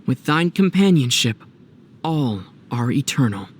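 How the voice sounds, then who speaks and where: A youthful adventurer from a video game. He sounds measured and thoughtful, with a gently teasing edge and a middling pitch. Faint background noise sits under the voice. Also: big pitch swings. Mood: reflective.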